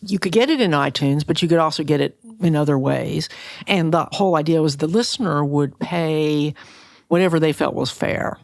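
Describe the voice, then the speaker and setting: A woman's voice: low-pitched, normal speed, creaky, no room sound, clean studio sound. An American woman, middle-aged or older, hosting a conversational podcast interview.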